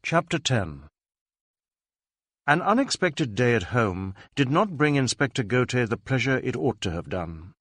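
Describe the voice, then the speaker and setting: A man reading a novel aloud as scripted narration, in a measured, formal storytelling manner. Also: medium pitch, very animated, a bit bright, dry recording. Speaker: audiobook narrator.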